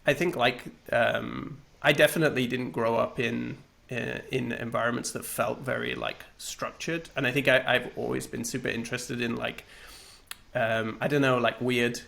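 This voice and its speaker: A man's voice: mid-range voice, little pitch movement, moderate pace, gravelly, a bit bright. A man, a game developer on a panel, talking in a thoughtful, hesitant way with many fillers and restarts. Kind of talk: casual panel conversation.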